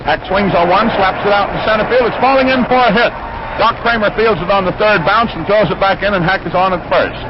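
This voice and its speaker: A man's voice: high-pitched, fairly quick, crowd noise. An American man calling baseball play by play in 1940s radio commentary style, chatting descriptively between plays. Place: ballpark broadcast position. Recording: noisy, dull old 1940s radio broadcast.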